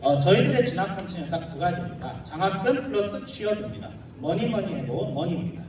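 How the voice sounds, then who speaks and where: A man delivers a slide talk as a school representative, in a slightly high voice and at a rapid pace. He explains point by point and slips in informal, humorous asides. The sound is heavily reverberant, with clear noise around him. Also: expressive. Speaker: school representative presenting at an admissions briefing. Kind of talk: formal slide presentation to a live audience. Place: large echoey room, live audience. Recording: roomy echoing hall, distant mic, clear noise.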